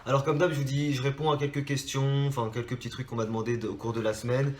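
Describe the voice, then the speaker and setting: A man's voice: mid-range voice, little pitch movement, fast talker, a little mellow, roomy sound, slight background noise. French man with a stuffy, blocked nose. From France. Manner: rambling, many hesitations and restarts. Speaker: drawing channel host. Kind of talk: casual chat to subscribers.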